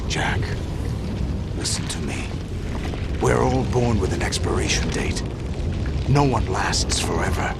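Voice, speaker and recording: An actor playing a middle-aged cloned warlord, a grandiose rebel villain who speechifies solemnly in a video game cutscene. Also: male voice, on the low side, animated, measured pace, pauses often, over loud music. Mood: brooding. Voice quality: gravelly. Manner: solemn, speechifying, rising to rallying cries. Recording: game cutscene with loud orchestral score.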